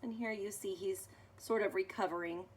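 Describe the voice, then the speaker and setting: A woman reading a children's picture book aloud expressively, pausing to explain things as if to young children. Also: medium pitch, steady tone, a little hiss or hum. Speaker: storybook reader.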